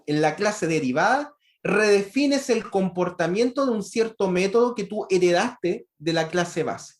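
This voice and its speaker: Patient lecturer explaining code. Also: male voice, high voice, expressive, a little slow. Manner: explanatory, checks in often with rhetorical questions. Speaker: university lecturer. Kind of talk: online programming lecture.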